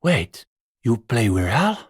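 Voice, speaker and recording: An actor playing an earnest, pretentious young communist student intellectual with a bookish, opinionated way of talking, in a video game. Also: male voice, mid-range voice, sing-song, slow, breathy edge, dry recording, clean studio sound, exaggerated voice. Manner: bookish, opinionated, academic phrasing. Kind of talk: video game character dialogue.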